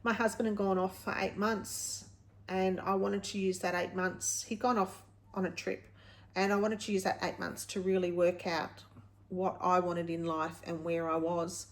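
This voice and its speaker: A woman's voice: fairly low pitch, little pitch movement, moderate pace. Chatty woman in her late fifties. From Australia. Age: late 50s. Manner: candid, rambling, self-correcting. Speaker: vlog host. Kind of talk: casual personal vlog, chatting to viewers.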